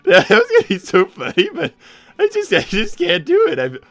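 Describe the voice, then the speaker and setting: A man voicing a cocky, dramatic young male hawk, excitable and boastful, in improvised cartoon roleplay. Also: extremely high pitch, brisk, no room sound, faint music behind, heavily acted. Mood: sheepish, excitable.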